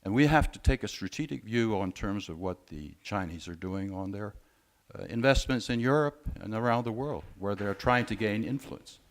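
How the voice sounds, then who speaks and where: A man speaking as a panelist at a conference discussion, measured and often hesitating. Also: on the low side, animated. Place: conference room.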